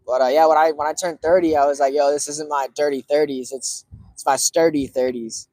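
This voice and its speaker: A man in his early thirties talking casually to viewers, relaxed and rambling with lots of fillers. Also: fairly high pitch, brisk, no echo. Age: 30s. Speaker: cigar streamer.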